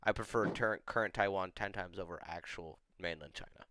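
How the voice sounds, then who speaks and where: A man's voice: sits low, a little fast, a bit bright, dry recording. A young man chatting casually on a stream, rambling with lots of "like" and giving blunt opinions. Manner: rambling, opinionated, heavy use of "like". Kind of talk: casual chat.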